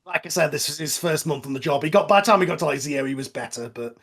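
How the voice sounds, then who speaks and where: A man's voice: a little high, animated, fast talker, fairly bright tone. A man giving casual, opinionated fan commentary on a film as the host, with trailing asides.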